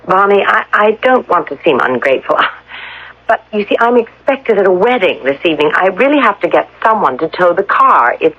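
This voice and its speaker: A woman's voice: medium pitch, big pitch swings, brisk. An actress playing a polite, anxious young woman stranded at a resort, in a radio drama. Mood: polite unease. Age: young adult. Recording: muffled old radio recording, faint noise.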